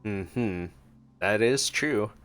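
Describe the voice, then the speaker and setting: A man's voice: on the low side, very slow, a bit bright, smooth, soft background music. A man streaming gameplay with casual reaction commentary, sometimes reading dialogue aloud.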